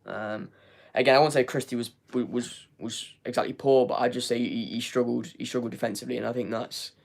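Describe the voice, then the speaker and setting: A man's voice: medium pitch, steady tone, brisk, gravelly. A young man hosting a live football fan review, losing his voice, rambling with repeated words and many fillers. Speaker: fan channel host. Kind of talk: live match review, reading chat.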